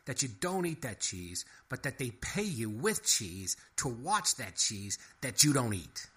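A man's voice: medium pitch, sing-song, unhurried, fairly bright tone, voice pushed up. A man voicing a scheming male mouse who pesters with stammering, wheedling questions. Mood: pushy. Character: fast-talking scheming male mouse, pushy.

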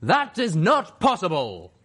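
A man voicing an undead armored warrior pony in an audiobook, thunderous, shouting and grandly formal. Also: sits high, wildly swooping pitch, takes it slowly, slightly rough, pitched above the performer's own voice, heavily acted. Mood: loud, proclaiming. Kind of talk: audiobook character voice.

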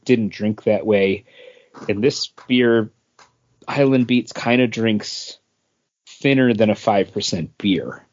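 A man co-hosting a podcast, chatting in a measured, thoughtful way. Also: on the low side. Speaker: podcast co-host. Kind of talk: casual chat. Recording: dull lo-fi sound.

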